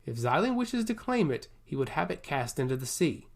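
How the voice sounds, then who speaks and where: A man acting a youthful, self-assured nobleman, lively pitch. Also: fairly quick, creaky, full character voice. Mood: stern warning. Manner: courtly, florid phrasing.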